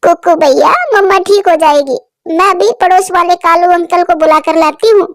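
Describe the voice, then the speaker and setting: A woman's voice: very high-pitched, fairly even intonation, moderate pace, pitched above the performer's own voice. A woman voicing a caring young girl bird, a big sister in a cartoon story, speaking earnestly and anxiously.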